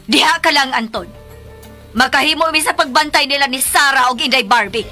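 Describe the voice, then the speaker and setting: A woman's voice: sits high, brisk, lightly acted. A woman playing a suspicious, domineering mother of a grown son in a radio drama, exclamatory and with a Filipino accent. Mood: firm. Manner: exclamatory, indignant, switches into English. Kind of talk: emotional radio drama acting. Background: background music.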